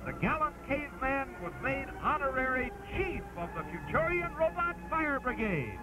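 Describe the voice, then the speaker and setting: A man's voice: very high voice, sing-song, moderate pace. A man giving a theatrical, emphatic dramatic reading of an old-fashioned children's adventure, with music under his voice. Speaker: narrator, live stream host.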